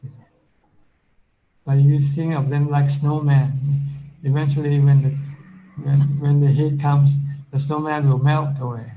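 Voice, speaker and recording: A man's voice: on the high side, little pitch movement, a little slow, mellow. A man who is a Buddhist monk, giving an informal teaching and answering questions on a video call, speaking plainly with simple comparisons. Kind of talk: informal Dhamma talk and Q&A. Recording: dull lo-fi video call audio.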